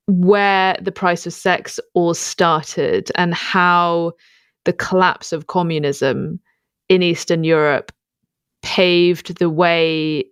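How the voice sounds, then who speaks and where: A woman host reading an earnest, measured introduction and then asking her guest a question. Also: on the low side, clear, resonant tone, dry recording, clean studio sound. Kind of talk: scripted introduction and interview questions.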